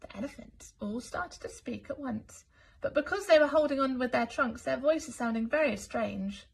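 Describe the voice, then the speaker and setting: Female school storyteller, higher-pitched, expressive and deliberate picture-book narration for young children. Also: gravelly, slightly rough. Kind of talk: children's story read-aloud.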